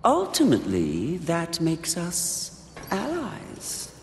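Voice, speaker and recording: A suave demonic tempter in a fantasy game. He draws his words out slowly and swoops wildly in pitch, teasing and condescending. A long reverb trails the theatrical delivery. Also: wildly swooping pitch, gravelly, exaggerated voice. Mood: probing, seductive. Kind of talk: theatrical video game villain acting. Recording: reverberant game capture, faint noise.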